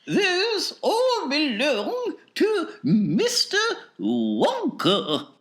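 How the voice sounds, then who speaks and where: Storybook mother: played by a male narrator as an indulgent woman, soft coaxing tone, bright and high. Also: huge pitch swings, drawn-out words, exaggerated voice. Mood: soothing. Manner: soothing, coaxing. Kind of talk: audiobook character voice.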